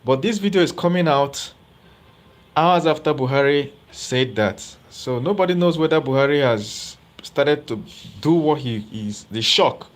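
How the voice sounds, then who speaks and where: A man's voice: fairly high pitch, expressive, measured pace. A man giving live political commentary as host, chatty and often checking in with viewers. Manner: conversational, asks viewers rhetorical questions.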